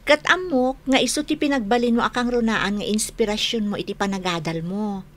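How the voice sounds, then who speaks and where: An actress with an Ilocano Filipino accent playing a teasing, loyal young college friend in a radio drama. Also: female voice, mid-range voice, brisk, a bit bright, natural voice. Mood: curious, teasing.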